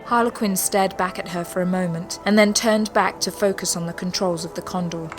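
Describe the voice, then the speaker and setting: A woman's voice: fairly low pitch, fairly even intonation, brisk. A woman narrating a scripted sci-fi audio drama. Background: loud music.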